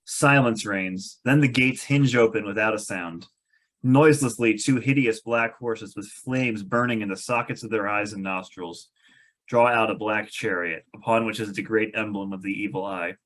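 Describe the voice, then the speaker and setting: A man's voice: lowish voice, normal speed, a bit bright. A man reading stage directions aloud as narrator of a scripted table read.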